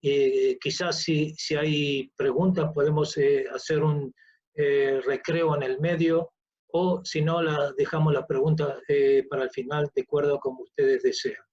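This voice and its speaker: An older man giving an online scientific lecture, halting and often repeating his words over a video call. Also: a little high, flat delivery, darkish tone, highs cut off. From Uruguay. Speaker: professor and researcher, lecturer.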